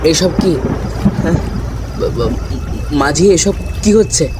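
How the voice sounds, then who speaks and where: A man's voice: fairly high pitch, slow, heavy background noise. A man playing a bewildered, frightened boat passenger in a ghost story, asking urgent questions with a stammer. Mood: alarmed, bewildered.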